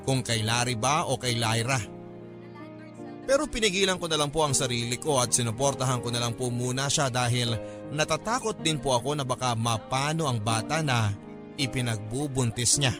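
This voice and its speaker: A man's voice: mid-range voice, animated, normal speed, music in the background, lightly acted. A man performing an earnest young student's story as scripted radio drama narration, polite and confiding. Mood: earnest, troubled. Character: earnest, worried young student friend telling their story.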